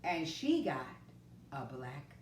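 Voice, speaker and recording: A woman reading a picture book aloud, slow and expressive, sometimes dropping to a hush, in a roomy recording from a distance. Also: low voice. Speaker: storyteller.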